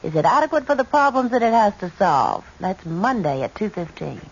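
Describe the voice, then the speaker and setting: A woman's voice: mid-range voice, big pitch swings, brisk. A woman presenter reading a scripted promo for a radio programme. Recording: muffled vintage radio, faint hiss.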